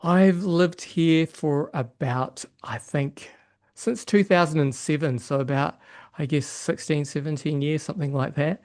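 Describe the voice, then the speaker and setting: A middle-aged man with a New Zealand accent, chatting casually as an interview guest in hesitant, halting phrases. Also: a little high, a little mellow. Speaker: podcast guest, software developer and yoga teacher.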